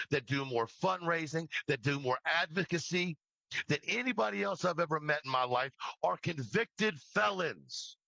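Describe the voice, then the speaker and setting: A man's voice: on the high side, vocal fry, pushed and bright, no echo, rough recording. A man who creates true crime livestreams, delivering an impassioned, scolding rant with free swearing and emphatic repeated phrases. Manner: swears freely. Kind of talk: impassioned rant addressed to an absent person.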